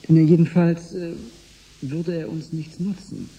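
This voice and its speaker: An actor playing a calm, reassuring scholar aboard a spaceship in a German radio drama, speaking in a measured way. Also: male voice, a little high, slightly rough, muffled recording. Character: calm, reassuring male historian-physicist on a spaceship.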